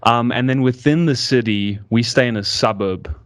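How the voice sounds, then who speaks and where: A man's voice: medium pitch, smooth, no room sound, studio quality. A man from South Africa whose speech mixes American and South African accents, thinking aloud as a guest in a conversational interview with frequent restarts. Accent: mixed American and South African English. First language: American English. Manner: hesitant, halting, with many restarts.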